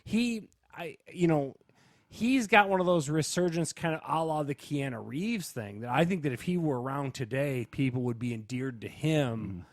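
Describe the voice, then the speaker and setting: A man's voice: a little high, moderate pace, no echo, studio quality. A man co-hosting a movie podcast in casual chat, slangy and opinionated, saying bluntly what he thinks. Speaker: podcast co-host reviewing movies.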